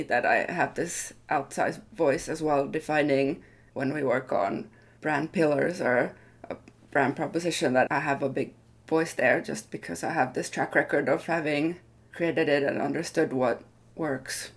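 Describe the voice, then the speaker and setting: Low-voiced woman, thoughtful interview guest. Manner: thoughtful, hedging, self-qualifying. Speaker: interview guest, tenured design leader. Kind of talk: reflective interview conversation.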